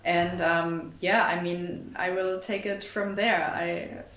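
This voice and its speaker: Lowish young German-accented woman, echoey. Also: flat delivery, takes it slowly, a bit bright. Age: young adult. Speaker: actress. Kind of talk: interview answers. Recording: echoey, distant mic, background noise.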